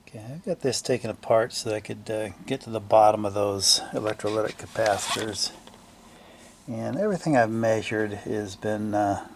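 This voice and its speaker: A man giving casual, unscripted repair commentary in a gravelly voice, thinking aloud haltingly in trailing fragments. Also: fairly low pitch, a little hiss or hum. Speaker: hobbyist restorer narrating a repair video.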